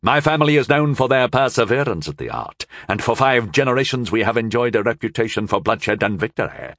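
Man acting a sneering aristocratic warlord, fantasy audiobook, quiet menace, measured scorn, dry sound. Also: mid-range voice, animated, dry recording.

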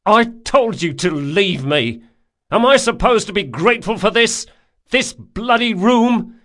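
A man's voice: medium pitch, animated, measured pace, fairly bright tone. A male narrator voicing a bitter, sulking, sardonic young rogue in an audiobook story. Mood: angry outburst. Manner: sneering, anguished, outbursts.

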